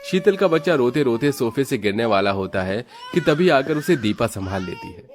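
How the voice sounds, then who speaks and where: A man's voice: medium pitch, huge pitch swings, normal speed. A man narrating a scripted moral story for children as its storyteller.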